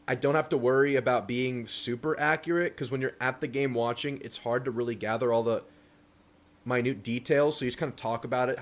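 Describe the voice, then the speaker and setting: A young man hosting a casual live stream, reading viewer messages aloud and answering them. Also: mid-range voice. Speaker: livestream host.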